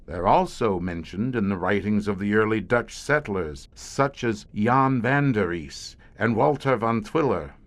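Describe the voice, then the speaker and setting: An actor playing a haunted, learned middle-aged scientist who guards monster-ridden tunnels, speaking in a grave, florid, theatrical way for an audio horror drama. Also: male voice, on the low side, expressive. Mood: ominous, erudite. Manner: grave, florid, dramatic storyteller with weighty pauses.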